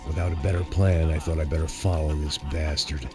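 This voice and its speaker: A very deep-voiced American action hero, worn out and bitter. He tells his story as brooding, sardonic noir narration for a video game. Loud music plays behind him. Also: fairly even intonation, a little fast. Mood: grim, puzzled. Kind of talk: hardboiled first-person noir voice-over.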